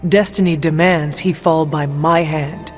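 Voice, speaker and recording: Male actor as solemn, literal-minded game warrior hero. High male voice, formal delivery, music behind. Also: steady tone, measured pace, phone-quality sound, natural voice. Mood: earnest, resolute. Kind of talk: video game dialogue acting. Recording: thin band-limited game audio, long reverb, music.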